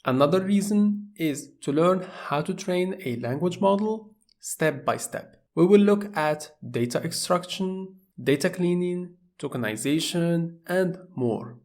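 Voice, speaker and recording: A man's voice: on the high side, a little slow, few pauses. Man explaining a coding course. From Morocco. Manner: plain, steady explaining, with small restarts. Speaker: course instructor. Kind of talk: scripted tutorial narration.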